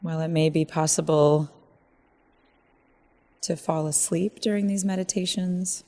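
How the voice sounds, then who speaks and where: A woman leading a guided meditation in a gentle, measured way, leaving long pauses. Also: deep voice, fairly even intonation.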